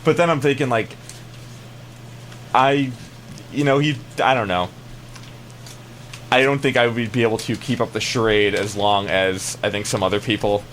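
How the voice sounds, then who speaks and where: A man's voice: fairly low pitch, steady tone, moderate pace, long pauses, a bit bright, slight background noise. An American man chatting casually in a rambling, hesitant way, often trailing off before he finishes. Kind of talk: casual banter.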